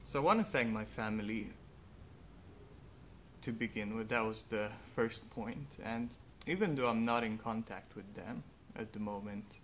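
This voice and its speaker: A young man, a native Hungarian speaker, speaking slowly and earnestly in a reflective personal monologue with faint background noise. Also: fairly low pitch, a bit bright. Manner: slow, thoughtful, earnest. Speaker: vlogger.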